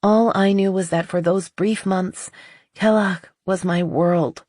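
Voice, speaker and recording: An audiobook narrator voicing a nervous, earnest middle-aged woman confessing, with a halting, shaky delivery. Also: medium pitch, steady tone, darkish tone, dry recording, clean studio sound, pitched above the performer's own voice, lightly acted. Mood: regretful.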